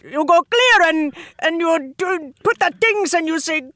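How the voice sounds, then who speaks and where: Woman acting an earnest, very high-voiced character. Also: lively intonation, unhurried, a bit bright, dry recording, clean studio sound, exaggerated voice.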